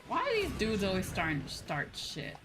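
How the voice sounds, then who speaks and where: A woman's voice: medium pitch, wildly swooping pitch, normal speed. A woman chatting casually and expressively as she commentates a game livestream. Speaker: streamer. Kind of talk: casual game livestream commentary, reading on-screen dialogue aloud. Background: game music.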